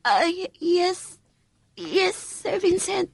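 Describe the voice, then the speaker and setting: An actress playing a lovesick housemaid in a radio drama, with a Visayan Filipino accent and a tearful, deferential manner. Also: female voice, extremely high pitch, lively intonation, drawn-out words, vocal fry, no room sound, studio quality, heavily acted. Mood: meek, eager. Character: lovesick housemaid, a mother pining for her master. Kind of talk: radio drama acting with confessional narration.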